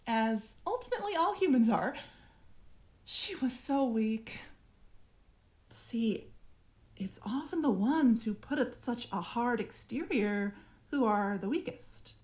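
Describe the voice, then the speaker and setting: Audio drama: actress as cold, self-satisfied female alien infiltrator, formal and deliberate, higher register. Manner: measured, clinical, formal. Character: cool, smug alien parasite speaking through its human host.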